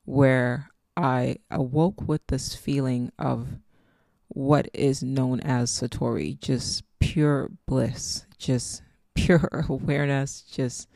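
A woman's voice: a bass voice, vocal fry, warm tone, dry recording, clean studio sound. A woman with a Nigerian accent hosting a solo podcast, musing reflectively and meandering as she talks. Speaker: podcast host. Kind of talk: casual solo podcast monologue.

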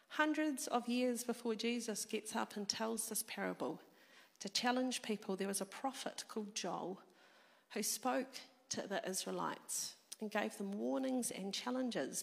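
A woman delivers a sermon, her voice a touch croaky from a cold. She sits fairly high for a woman and leans into the congregation with lively questions. Her voice rings with the long reverb of a large hall. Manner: animated, engaging the congregation. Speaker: preacher.

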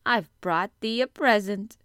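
A woman's voice: medium pitch, unhurried, a bit bright, clear, resonant tone, no echo, clean studio sound, close to an everyday voice. A woman voicing a cheerful young housemaid from a storybook, chattering and exclaiming in Yorkshire dialect. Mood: proud, excited.

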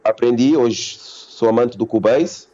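A man's voice: mid-range voice, a little slow, lo-fi sound. A man from Portugal, a beat producer rambling casually in a livestream interview and saying yeah a lot. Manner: relaxed, rambling, says yeah often.

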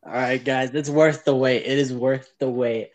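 A man's voice: medium pitch, steady tone, normal speed, a little mellow. A man hosting a casual podcast over an online call, playful and full of questions. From Mexico. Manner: playful, upbeat, asks lots of questions. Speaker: podcast host. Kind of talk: casual chat. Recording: remote call, voice breaking up.

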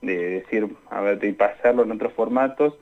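A man's voice: on the low side, steady tone, moderate pace. Young Argentine man on a lo-fi line. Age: young adult. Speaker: interview guest, student radio drama maker. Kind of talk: conversational radio interview. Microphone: remote call into a radio show. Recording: dull, lo-fi call-in sound.

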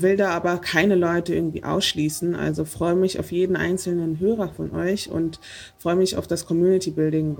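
A woman's voice: on the low side, creaky, a little mellow, slightly rough. A woman hosting a casual podcast interview, talking with many hesitant fillers. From Germany. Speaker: podcast host.

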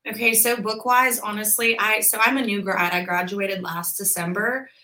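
Woman, 20s, podcast guest; bright tone, talkative, filler-heavy explainer. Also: medium pitch, steady tone, moderate pace. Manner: chatty, explains with lots of "like" and "um". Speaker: podcast guest, physical therapist. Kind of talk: casual interview chat.

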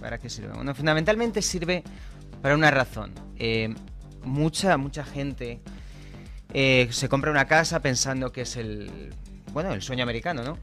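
A man's voice: medium pitch, lively intonation, normal speed, creaky, pushed and bright, soft background music. A man from Spain with a Spanish accent, a guest in a studio interview, explaining things patiently and checking that he is understood. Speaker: guest, communications director. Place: studio table.

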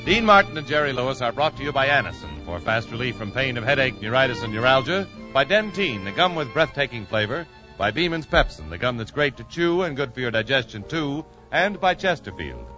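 A man's voice: medium pitch, sing-song, brisk. A man announcing a 1950s radio show and reading sponsor messages in a formal, showy way. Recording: muffled vintage radio recording. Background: music.

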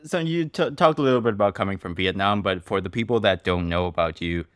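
A man hosts a casual podcast interview, drawing out long, searching questions. His voice is very deep and recorded close and dry. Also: fast talker, no echo. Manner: asks rambling, searching questions. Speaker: podcast host.

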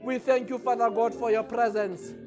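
High-voiced man praying fervently. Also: level tone, moderate pace, over music. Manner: impassioned, repetitive, often shouting praises. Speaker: pastor leading prayer. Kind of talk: fervent spoken prayer and worship.

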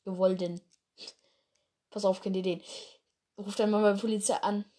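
A woman's voice: mid-range voice, flat delivery, moderate pace, warm tone. A woman chatting casually in her bedroom, giggly and rambling, laughing at her own mistakes. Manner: giggly, rambling, self-deprecating. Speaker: host of a fan clan roleplay channel.

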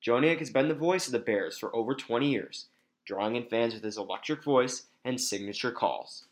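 Man, American accent, mid-range pitch, scripted feature narration, podcast narrator. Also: normal speed.

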